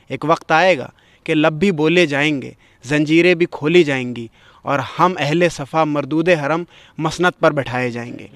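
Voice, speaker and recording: A man's voice: fairly high pitch, clean tone, no echo. A man giving a literary talk and reciting poetry in a declamatory, emphatic way with formal phrasing.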